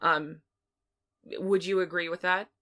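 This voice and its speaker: A woman's voice: on the low side, fairly even intonation, measured pace, pushed and bright. A woman co-hosting a podcast, chatting casually as she reviews films. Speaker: podcast co-host. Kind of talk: casual film review chat.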